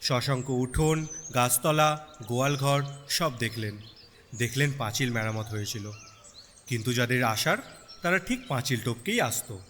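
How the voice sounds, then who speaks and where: A man narrating a story in an expressive, dramatic storytelling style with sympathy in his delivery. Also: mid-range voice, a little slow, pauses often. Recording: long reverb, background night insect noise.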